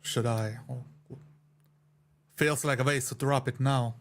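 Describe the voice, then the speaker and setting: A man playing a tabletop game, narrating his character's actions in a measured, deliberate, dramatic way. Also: mid-range voice, steady tone, slight background noise.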